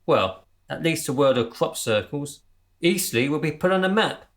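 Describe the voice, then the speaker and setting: An actor playing a grandiose leader of an amateur crew, speechifying with pompous passion in a radio comedy drama. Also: male voice, on the high side, expressive, normal speed. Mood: grandiose, excited.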